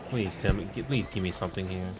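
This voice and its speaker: A man's voice: deep voice, fast talker. A man born in Finland whose first language is Finnish, chatting casually on a live stream as he plays. He rambles, repeats himself and mutters at the game. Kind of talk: casual live-stream chat while gaming. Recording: noisy background.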